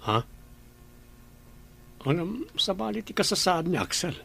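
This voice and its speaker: A man playing a husky worried father. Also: high-pitched, sing-song, measured pace, a bit bright, full character voice. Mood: concerned. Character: worried father and estate boss. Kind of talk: radio drama acting.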